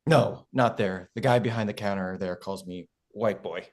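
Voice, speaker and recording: A man's voice: lowish voice, moderate pace, darkish tone, close to an everyday voice. A man playing an earnest, self-conscious young history teacher in a sitcom table read, fussy and protesting. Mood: flustered.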